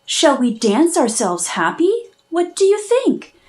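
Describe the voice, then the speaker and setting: A woman voicing a tiny, shy, kind male vole in a children's storybook, peeping and gentle. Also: sits high, very animated, measured pace, pitched above the performer's own voice. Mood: shy, friendly.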